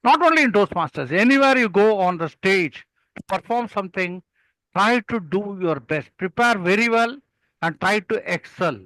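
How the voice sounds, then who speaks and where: A man's voice: high voice, expressive, moderate pace, fairly bright tone, no echo, low-quality recording. An older man with an Indian accent, speaking as a mentor in an interview and telling anecdotes in an emphatic storytelling way. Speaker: public speaking mentor and guest.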